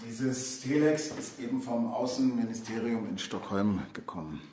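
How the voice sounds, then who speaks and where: A man's voice: medium pitch, moderate pace, a little mellow, slight background noise, close to an everyday voice. An actor playing a matter-of-fact male police colleague in a radio crime drama.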